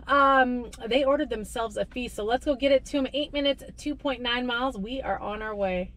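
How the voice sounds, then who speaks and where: A woman's voice: on the high side, normal speed, a bit bright, a little hiss or hum. A woman in her thirties, a delivery driver, chatting casually to camera from inside her parked car, talking with her hands. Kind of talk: casual vlog narration. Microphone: camera on the dashboard.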